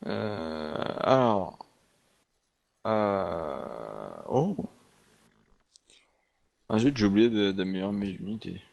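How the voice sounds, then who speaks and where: Joking man with a low, dry voice. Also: animated, extremely slow, gravelly, pauses often, darkish tone, no echo, dull audio. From France. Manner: joking, playful banter. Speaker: co-player. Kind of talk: casual gaming chat.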